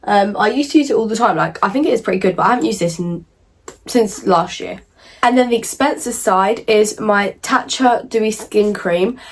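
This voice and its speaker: A young woman, croaky from a cold, chatting casually through a makeup tutorial with lots of "like" and "um". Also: mid-range voice, normal speed. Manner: chatty, rambling, many fillers like "like" and "um". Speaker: beauty vlogger. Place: at home in front of a mirror.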